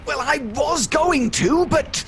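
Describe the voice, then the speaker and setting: A man's voice: very high voice, slow, fairly bright tone, exaggerated voice. A man acting a mild, sheepish husband and father in a comic radio play, hesitant and bantering. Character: hapless, mild-mannered husband and father, freshly dead.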